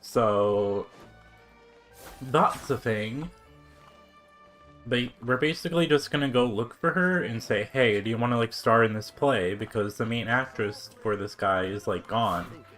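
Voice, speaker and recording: A man's voice: medium pitch, unhurried, fairly bright tone, soft background music. A man livestreaming a game with casual, slangy, chatty commentary.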